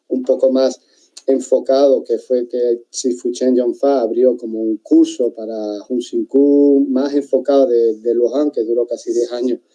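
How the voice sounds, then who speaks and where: A man's voice: mid-range voice, mellow, highs cut off. A man from southern Spain with an Andalusian accent, talking as an interview guest and telling a long story with many restarts over a video call. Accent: Andalusian Spanish. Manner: rambling, restarts often, thoughtful. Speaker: interview guest, martial arts teacher. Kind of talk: casual interview chat.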